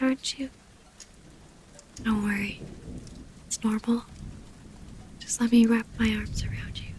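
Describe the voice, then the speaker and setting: Casting: actress as wistful female vampire sweetheart, higher voice, soothing breathy whispers, intimate ASMR, faint rainy noise behind. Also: a little mellow, low-quality recording. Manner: breathy half-whisper, soothing, hesitant pauses. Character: tender female vampire lover, devoted, gently predatory, wistful. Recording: close-up with rain ambience, faint noise.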